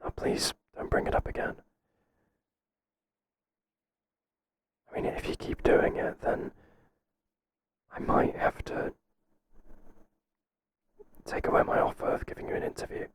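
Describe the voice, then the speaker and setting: A man playing a flustered but principled boss in an ASMR roleplay, speaking hesitantly in a breathy whisper. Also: a little hiss or hum, natural voice. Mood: stern. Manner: hesitant, halting, gently firm. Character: flustered, professional male boss, kind but firm. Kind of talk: whispered ASMR roleplay, intimate second-person acting.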